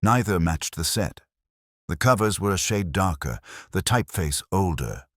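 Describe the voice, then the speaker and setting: Very deep-voiced man narrating a mystery. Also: sing-song, no room sound, studio quality. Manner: measured, reflective, literary delivery. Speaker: narrator of a detective story. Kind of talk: scripted first-person mystery narration.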